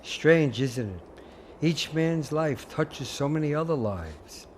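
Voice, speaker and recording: Guy doing a stammery fussy old angel, kinda high, voice swoops around, soft music under it. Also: expressive, takes it slowly, a little hiss or hum. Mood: gentle, wise. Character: gentle bumbling elderly male guardian angel. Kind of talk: radio play acting.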